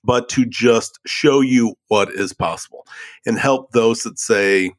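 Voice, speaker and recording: A man co-hosting a podcast, with a deep baritone voice and a dry, joking way of talking. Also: takes it slowly. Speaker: podcast co-host, coach. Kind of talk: casual podcast chat.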